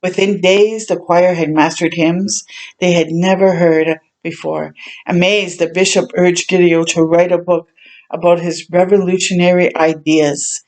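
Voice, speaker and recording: A woman reading a children's story aloud as a storytime host, adding gentle chatty reactions as she goes. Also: on the low side, flat delivery, measured pace. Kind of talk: read-aloud narration with chatty asides.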